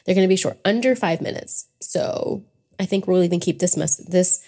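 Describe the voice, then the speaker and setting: A woman's voice: on the low side, normal speed, warm tone. An American woman hosting a casual solo podcast, talking in a chatty, informal, rambling way. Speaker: podcast host.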